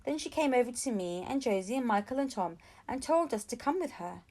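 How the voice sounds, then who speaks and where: Woman, higher voice, expressive storybook narration, audiobook reader for children. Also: a little fast. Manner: expressive storytelling with acted-out dialogue. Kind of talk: scripted storybook narration read aloud for children.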